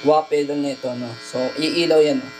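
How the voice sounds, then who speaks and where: A man's voice: fairly high pitch, steady tone, brisk, rough recording. A man talking casually and conversationally as a guitar effects vlogger, often ending phrases with a tag question. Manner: conversational, frequent "no?" tags. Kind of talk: casual vlog demo, Tagalog mixed with English. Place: indoor home studio by a window.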